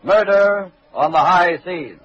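A man's voice: sits high, takes it slowly, crowd noise. An American man making formal, declamatory announcements in 1940s scripted broadcast style. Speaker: radio announcer. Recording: dull 1940s radio recording, faint music.

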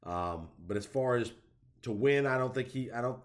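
A man with a stuffy head cold giving casual, conversational golf commentary and predictions, with lots of fillers. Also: medium pitch. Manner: conversational, many fillers, drawn-out emphasis.

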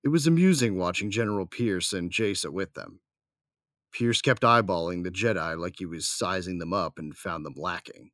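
Very deep, wry warrior man played by an actor. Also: normal speed, a bit bright, dry recording, studio quality. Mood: wry watchfulness. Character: armored male warrior, wry, affectionate, first-person narrator. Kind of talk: dramatic first-person audio drama narration.

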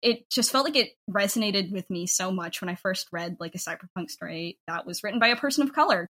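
A woman's voice: mid-range voice, animated, fast talker, sharp tone. A woman with an American accent speaking casually and earnestly as an interview guest, with an effusive, reflective way of talking. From United States. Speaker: interview guest, audio drama creator.

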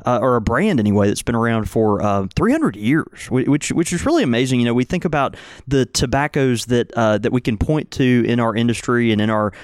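A man co-hosting a podcast with an everyday middle-pitched voice. He chats in an easy, wry way, throwing in dry jokes. The sound is dead and close. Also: lively intonation, brisk, few pauses, no echo. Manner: wry, chatty, easygoing. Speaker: podcast co-host. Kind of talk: casual podcast chat.

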